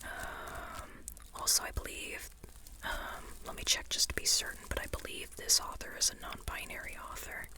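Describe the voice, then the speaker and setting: An ASMR creator whispering an informal, rambling book chat in a breathy, unvoiced voice, tentative and softly repeating phrases. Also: normal speed.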